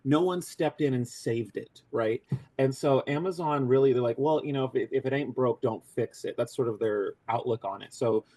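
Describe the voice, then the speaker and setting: Excitable man joking crudely in an interview. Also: medium pitch, moderate pace. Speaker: voice actor guest. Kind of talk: casual interview talk.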